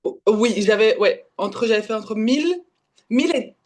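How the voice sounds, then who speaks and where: A woman's voice: on the high side, a little mellow. A woman in her twenties from Belgium, giving a casual personal account, often correcting herself and slipping in English words. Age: 20s. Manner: halting, self-correcting, slips in English words. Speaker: guest, women's spiritual development guide. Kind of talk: casual personal testimony in conversation.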